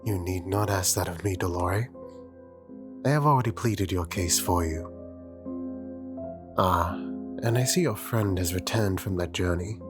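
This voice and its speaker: A man's voice: low voice, a little slow, long pauses. A man playing a stern, condescending master of magic at a college, speaking formally and imperiously in a fantasy audio roleplay. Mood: formal, composed. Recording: music playing behind the voice. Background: instrumental music.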